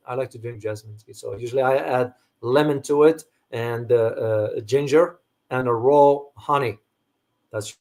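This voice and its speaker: A man's voice: medium pitch, darkish tone. Moroccan-born man chatting casually on stream. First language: Arabic. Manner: rambling, frequent restarts and fillers, thanks viewers by name. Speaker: host answering viewer questions. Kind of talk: casual livestream chat.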